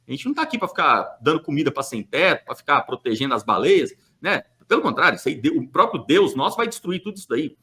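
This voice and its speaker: High, lively-voiced Brazilian man arguing. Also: fast, hardly any pauses. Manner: emphatic, argumentative, asks rhetorical questions, hesitant fillers. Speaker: church podcast speaker. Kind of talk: opinionated podcast monologue.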